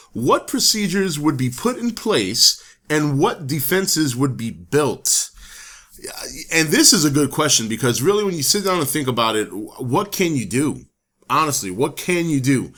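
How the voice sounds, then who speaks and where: A man with a hoarse voice hosting his own talk show, thinking aloud in a casual, rambling way. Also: mid-range voice, fairly bright tone. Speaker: host. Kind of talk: casual solo talk to camera.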